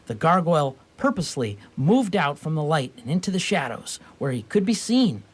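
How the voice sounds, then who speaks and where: A man playing a wry storyteller, narrating a scripted radio theatre story in a measured way. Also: on the high side, big pitch swings, breathy edge. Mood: wry narration.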